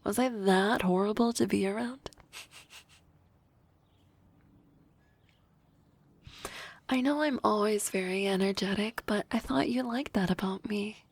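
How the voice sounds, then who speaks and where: A woman voicing an energetic young female harpy classmate in an ASMR roleplay, talking softly and often in a whisper with a teasing manner. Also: medium pitch, moderate pace, breathy edge. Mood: hurt.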